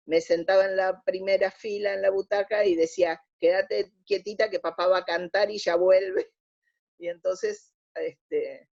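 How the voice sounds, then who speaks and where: Female interviewee, 60s, Buenos Aires accent. Expressive, searching storyteller, mid-pitched, muffled lo-fi sound. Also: unhurried, vocal fry. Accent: Buenos Aires Spanish. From Argentina. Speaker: interview guest. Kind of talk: conversational reminiscing in an interview. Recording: dull lo-fi audio.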